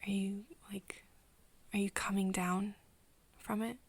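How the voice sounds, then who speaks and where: A woman playing a caring, flustered young woman in an ASMR roleplay, with a soft, breathy voice and a tender, stammering manner. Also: mid-range voice, level tone, slow pace, no room sound. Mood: tender, hesitant. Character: caring, flustered young woman soothing her vampire friend.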